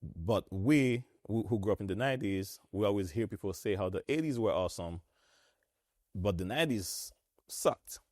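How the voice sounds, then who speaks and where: Deep-voiced man with a Haitian accent. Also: moderate pace, dry recording, clean studio sound. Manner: relaxed, repeats words, laughs easily. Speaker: host. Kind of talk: conversational discussion.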